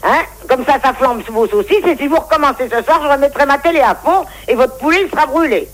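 A woman's voice: high-pitched, quick pace. A woman complaining on a phone call in an exasperated, scolding manner.

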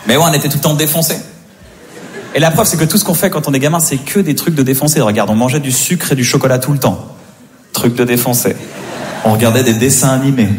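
A man's voice: mid-range voice, quick pace, a little hiss or hum. A man doing a stand-up routine, chatty and building up to playful rants. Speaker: stand-up comedian.

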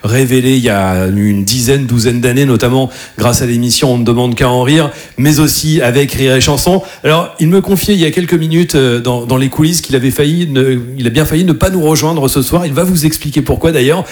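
A man's voice: medium pitch, brisk, darkish tone. A man hosting a comedy club, introducing the next act in an announcer style with a few hesitations. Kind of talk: introducing acts.

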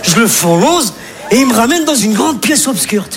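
A man performing a live stand-up routine, telling a story with lively repetitions. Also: very high voice, moderate pace. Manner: animated storytelling, repeats words for effect. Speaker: stand-up comedian. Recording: live stage recording with audience and hiss.

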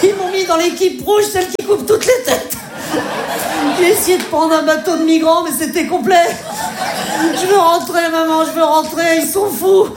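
Woman doing a whiny naive young guy on the phone to his mom, high voice, rambling, umms everywhere, echoey live stage. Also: normal speed, a crowd in the background, background noise. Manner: rambling, hesitant, full of fillers. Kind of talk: stand-up character sketch. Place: stage before a live audience.

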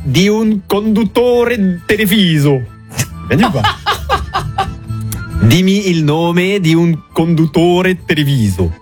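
A man's voice: high voice, sing-song, slow, pauses often. A man hosting a radio quiz in playful banter, teasing and joking. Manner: teasing, jokey. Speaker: radio game show host. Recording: music bed behind the voice.

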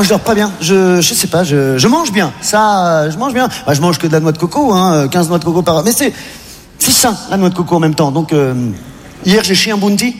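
High-pitched guy rambling, lots of uh's, long hall echo, noisy. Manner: rambling and hesitant, full of filler, bursting into high excited runs. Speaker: comedian. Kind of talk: absurd comic monologue.

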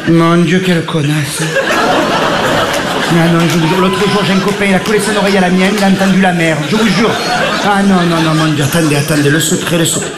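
A man's voice: high-pitched, a little fast, a loud crowd, lightly acted. A man playing a ditzy young woman contestant from Provence with a southern French accent, giggly and rambling. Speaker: game show contestant. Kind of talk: comedy sketch. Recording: echoey room with loud ambient noise.

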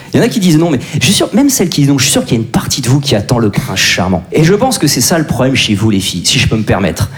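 A man in his forties doing a crude, brash, self-deprecating stand-up set. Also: medium pitch. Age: 40s. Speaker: stand-up comedian. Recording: live show with audience.

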